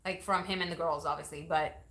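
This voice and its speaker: A woman's voice: low voice, steady tone, brisk, a bit bright, slight background noise. A woman from Venezuela hosting a casual live question-and-answer chat, laughing often and reading out viewer comments. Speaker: YouTube channel host. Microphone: ring light setup, camera mic.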